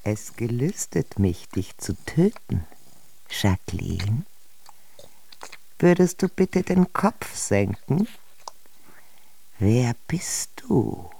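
An actress playing a limp, morbid, imperious queen in a radio drama, swinging between theatrical lament and hushed threats. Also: very deep voice, very animated, drawn-out words, creaky, long pauses, slightly rough, exaggerated voice. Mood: hushed, menacing. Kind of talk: German radio drama acting.

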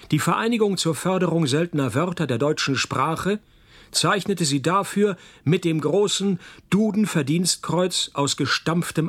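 Husky, deadpan private detective. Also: male voice, on the high side. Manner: deadpan, sardonic. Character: wry, unflappable male private detective narrating his case. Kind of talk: scripted radio play narration and dialogue.

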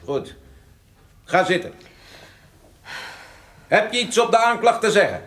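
An actor playing a stern, officious judge who speaks formally and commandingly in a radio drama. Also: male voice, high-pitched, lively intonation, unhurried, a bit bright. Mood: stern, official. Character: stern, officious male judge reading charges.

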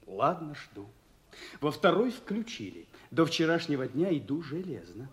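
An actor playing a stubborn, disillusioned young naval officer in a Russian radio play, with an impassioned, protesting delivery. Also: male voice, on the high side, very animated, unhurried, fairly bright tone. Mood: bitter, agitated.

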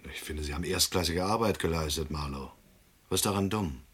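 Husky, wary hunted gangster. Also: male voice, sits low, brisk, a bit bright. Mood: guarded, resigned. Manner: terse, sardonic, unimpressed. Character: wary, resigned male fugitive from a crime syndicate. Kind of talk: German radio drama dialogue.

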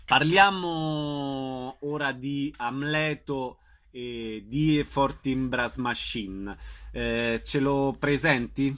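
A man asking interview questions on radio in a measured way, pausing between phrases. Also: mid-range voice, fairly bright tone.

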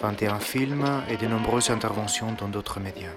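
A man's voice: lowish voice, moderate pace. Man narrating, music behind. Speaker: narrator of a radio essay. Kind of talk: scripted essay narration on cinema.

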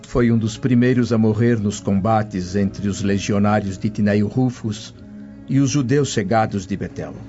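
A man's voice: fairly low pitch, measured pace, warm tone, lightly acted. An actor playing a humble, cultured servant in a radio drama, formal and reverent in his speech. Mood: earnest, reverent. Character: humble, cultured male servant, earnest and dignified. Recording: dull lo-fi audio with music.

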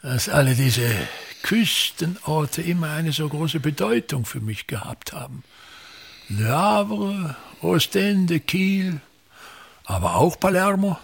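A man's voice: a little high, animated, takes it slowly, creaky, husky, full character voice. An actor in a radio play as a pompous, self-pitying older theatre director and father, grandiloquent and complaining, repeating himself. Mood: imperious, instructing.

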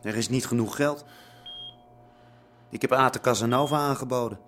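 An actor in an audio drama playing a stubborn underworld negotiator, blunt and insistent. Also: male voice, medium pitch, moderate pace, fairly bright tone, a little hiss or hum, natural voice. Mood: grim. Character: stubborn male underworld go-between bargaining for a captive.

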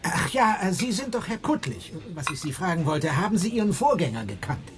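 An actor playing an awkward, agitated, suspicious insurance clerk in a radio drama, halting and fussily formal in his speech. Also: high voice, very animated. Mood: impatient, probing. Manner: hesitant, stammering, fussily formal.

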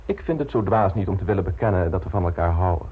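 An actor playing a suave, ambitious gentleman politician in an old radio play, polished, self-assured and teasingly frank. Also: low voice, animated, fast, phone-quality sound, slight background noise. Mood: teasing, ardent.

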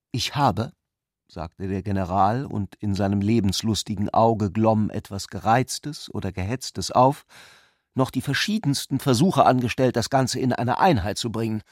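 A man's voice: fairly low pitch, animated, normal speed, darkish tone, no echo, clean studio sound. A man reading literary prose and its dialogue as the narrator of a radio play.

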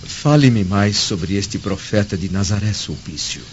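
An actor in a radio drama playing a proud, stern Roman senator with an imperious, formal manner. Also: male voice, fairly low pitch, lively intonation, unhurried. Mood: curious. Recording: dull lo-fi audio with faint noise.

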